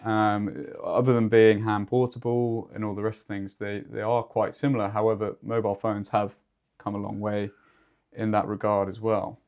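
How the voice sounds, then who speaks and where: Man, lowish pitch, podcast co-host, measured summarising manner, informal discussion. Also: flat delivery, darkish tone, smooth.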